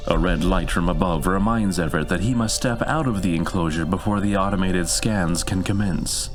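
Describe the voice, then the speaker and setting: A man narrating a scripted sci-fi story in an ominous, measured, theatrical way. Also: low-pitched, over music.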